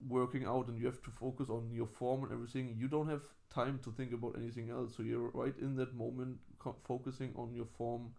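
A man from Germany with a German accent, chatting casually as a podcast co-host in a relaxed, halting way. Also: medium pitch, gravelly. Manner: relaxed, halting, restarts words. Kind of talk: casual chat.

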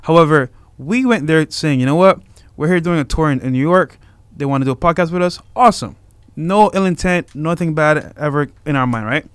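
A young man co-hosting a podcast who speaks in a fast, animated and insistent way during a heated discussion. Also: a little high, smooth, dry recording. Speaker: podcast co-host.

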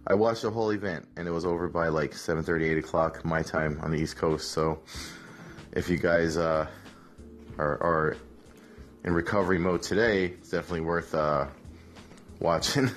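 Hungover man with a low voice. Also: moderate pace, soft background music. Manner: relaxed, rambling, many fillers and restarts. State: hungover, rough voice. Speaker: podcast host, MMA analyst. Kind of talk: casual solo podcast recap.

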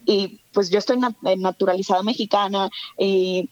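A woman's voice: medium pitch, fairly even intonation, normal speed, darkish tone, no room sound, muffled recording. Reflective Dominican-born woman in her thirties. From Dominican Republic. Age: 30s. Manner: reflective, hesitant with fillers. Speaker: guest. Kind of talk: casual conversation.

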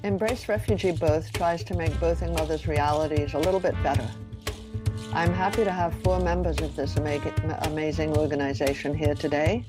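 A woman hosting a podcast interview, speaking in a gentle, earnest way. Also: sits low, steady tone, moderate pace, music in the background. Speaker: podcast host.

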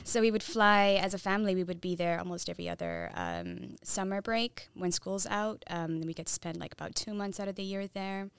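A woman who grew up in Saudi Arabia with Tigrinya as her first language speaks as a guest on a podcast, earnest and articulate and quick to laugh. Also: medium pitch, lively intonation, smooth, no room sound. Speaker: podcast guest, brand owner. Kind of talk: casual conversational interview.

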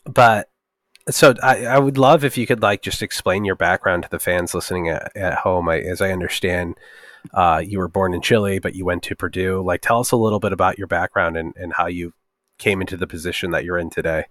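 A man's voice: low voice, fairly quick, a bit bright, dry recording, studio quality. A man hosting a podcast, asking questions in a jokey, rambling way with many fillers. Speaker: podcast host. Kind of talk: casual podcast interviewing.

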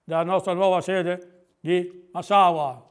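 A man's voice: high-pitched, steady tone, unhurried, clear, resonant tone. A smug owl business consultant from a children's fable, performed by a man. He talks fussily, echoing his own words in muttered repetitions as if savouring each one. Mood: pompous, hesitant. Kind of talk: fable storytelling.

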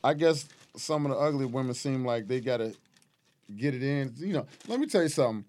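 A man's voice: medium pitch, animated, fairly quick, a little mellow. Brash, emphatic man in a slangy chat. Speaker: guest. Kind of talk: casual frank podcast chat.

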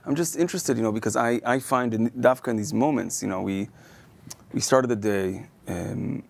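A man hosting a podcast, thinking aloud in halting, searching sentences full of fillers and restarts. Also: medium pitch, vocal fry. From Israel. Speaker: podcast host. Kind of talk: reflective conversational interview.

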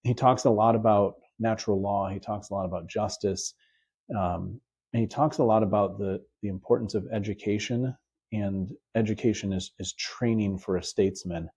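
Husky, mellow male podcast host. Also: on the low side, fairly even intonation, moderate pace. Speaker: podcast host and high school teacher. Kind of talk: casual conversation about teaching.

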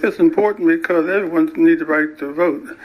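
A man's voice: on the high side, steady tone, a little fast, lo-fi sound. An older man, president of a civic organization, answering questions in a field interview. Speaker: civil rights organization president. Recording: field interview with faint crowd chatter.

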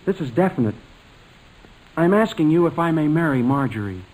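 An actor playing a polite, nervous young suitor in a 1940s radio comedy, speaking in a deferential, hesitant way. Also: male voice, fairly high pitch, lively intonation, normal speed, darkish tone, rough recording. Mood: earnest, nervous. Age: young adult.

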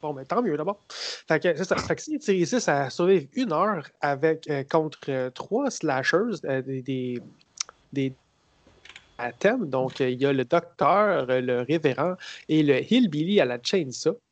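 Sarcastic Québécois man with a higher voice. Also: animated. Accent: Québécois French. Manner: sarcastic, rambling, halting storyteller. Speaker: podcast co-host. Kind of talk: casual podcast chat about films.

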